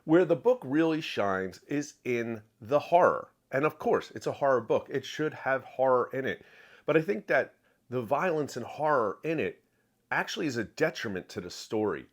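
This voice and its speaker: A man delivers an opinionated review as if straight to viewers. His mid-pitched voice swings animatedly up and down, and he stresses his points emphatically, often repeating a phrase to drive it home. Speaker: book reviewer. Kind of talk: opinionated book review to camera.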